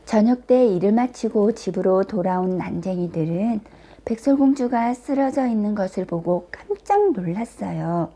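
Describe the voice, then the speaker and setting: Mellow-voiced woman telling fairy tales. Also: medium pitch, animated, moderate pace. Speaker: storyteller, teacher. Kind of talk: scripted children's fairy-tale narration.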